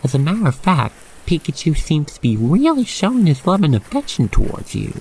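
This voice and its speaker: A man with a fairly high, slightly creaky voice narrates an ASMR roleplay straight to the listener. His delivery is theatrical and very animated, rising and falling widely as he describes things in wordy detail. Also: brisk, slight background noise. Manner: expressive, theatrical, wordy storytelling.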